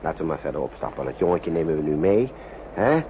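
An actor playing a kindly, worried uncle in a wartime radio play, talking to children in a soothing, coaxing, hesitant way. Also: male voice, very deep voice, big pitch swings, fast. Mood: gentle, soothing. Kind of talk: Dutch radio play acting. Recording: muffled, noisy old radio recording.

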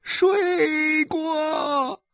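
Sobbing, frightened wife in an audiobook drama: very high voice, slow faltering lament, close dry sound. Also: female voice, fairly even intonation, fairly bright tone, dry recording, exaggerated voice. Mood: tearful confessing. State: crying. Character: wailing, wronged, frightened wife and mother.

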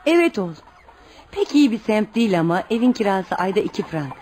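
A woman's voice: medium pitch, big pitch swings, a little fast, a little mellow, a little hiss or hum, lightly acted. An actress in a radio play playing a poor, devout widowed mother, protective and anxious, who speaks in an earnest, instructive way. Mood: explaining, cautioning. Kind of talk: radio theater acting.

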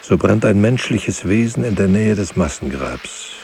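An actor playing a grave, bitter witness mourning the dead in a poetic radio drama, solemn and rhetorical. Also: male voice, fairly low pitch, lively intonation, a little slow, vocal fry, a little mellow, slight background noise. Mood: mournful.

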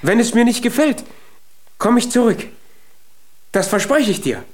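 An actor playing a small, earnest, naive young giant in a German children's radio play. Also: male voice, extremely high pitch, very animated, a little slow, exaggerated voice. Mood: solemn, determined.

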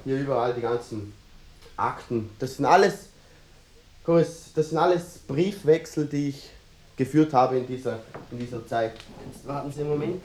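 An actor playing an earnest, obsessive amateur clarinetist in a mock-documentary radio play, rambling and interrupting himself in a Vorarlberg Austrian accent. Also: male voice, mid-range voice, a little mellow, slight background noise, natural voice. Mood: flustered, searching. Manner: rambling, halting, self-interrupting, fussy. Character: earnest, obsessive amateur clarinetist hunting a vanished brass band.

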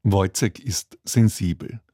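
A man's voice: fairly low pitch, slow, warm tone, husky, dry recording, studio quality. A man reading a formal, scripted introduction as a radio presenter. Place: dry broadcast studio.

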